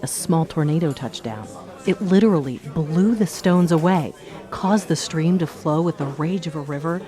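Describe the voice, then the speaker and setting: An actor playing a small-town bartender in a radio play, recounting a local legend in a measured, ominous manner. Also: medium pitch, darkish tone, close to an everyday voice. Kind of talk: dramatic storytelling in a radio play.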